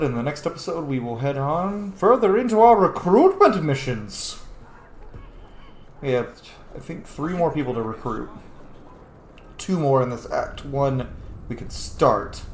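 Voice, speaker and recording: A man's voice: medium pitch, reverberant, a little hiss or hum. A man giving casual, sweary and self-mocking commentary as he hosts a gaming video.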